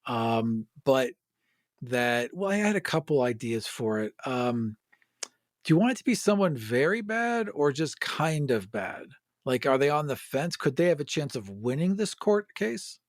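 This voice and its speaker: A man with an average-pitched voice talks through ideas in a casual podcast chat. He is informal and agreeable, and the sound is dry and close. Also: big pitch swings, a little slow, no room sound. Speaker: podcast co-host and editor.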